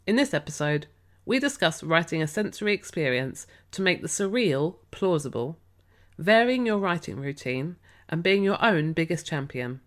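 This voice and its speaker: A woman hosting a book podcast, a little croaky as she gets over a cold, reading her introduction and then asking an author questions. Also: low voice, animated, moderate pace. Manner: friendly, scripted introductions and interview questions. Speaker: podcast host.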